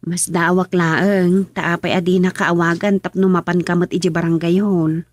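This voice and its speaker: A woman's voice: on the low side, little pitch movement, normal speed, dry recording, natural voice. An actress with an Ilocano accent playing an insistent village woman in a radio drama, coaxing and pleading with tag questions. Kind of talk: radio serial drama acting.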